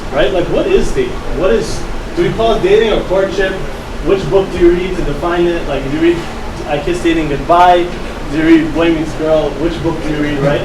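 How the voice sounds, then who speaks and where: A man born and raised in the US gives a casual talk to a live audience, speaking conversationally and tagging his lines with a rhetorical "right?". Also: high voice. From United States. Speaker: youth speaker. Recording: echoey room recording from a distance with air-conditioning hum.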